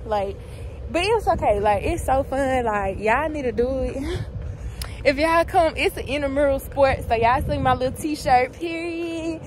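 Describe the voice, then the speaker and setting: A woman's voice: sits high, measured pace, noisy background. Chatty young woman vlogging. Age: young adult. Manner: chatty and expressive, Southern slang. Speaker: college student vlogger. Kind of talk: casual vlog chat to camera. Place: campus, car and outdoors, mixed with voice-over. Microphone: phone held at arm's length.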